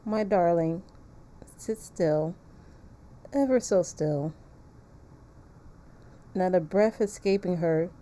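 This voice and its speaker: A morbid gothic painter, voiced by a woman reading a visual novel, devoted and hushed. The delivery is dark, mellow and very deliberate, lingering over each phrase. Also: lowish voice. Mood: eerily adoring.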